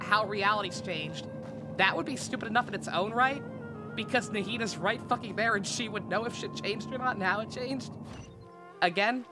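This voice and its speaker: A woman game streamer giving casual, sweary live commentary in a sarcastic, ranting manner. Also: on the high side. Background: game music.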